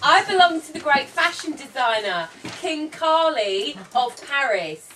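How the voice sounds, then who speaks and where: A woman's voice: high-pitched, very animated, unhurried, sharp tone, heavily acted. A woman voicing a cheeky, chatty cat in a live puppet show, chummy and slangy with the audience. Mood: chatty, confiding. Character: cheeky chatty cat narrating her tale, longing to play. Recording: distant camera mic, noisy room.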